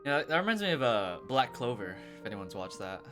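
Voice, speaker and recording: A man's voice: mid-range voice, sing-song, fairly quick. A man giving casual, slangy gameplay commentary as a streamer, in an offhand way. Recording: game music behind the voice.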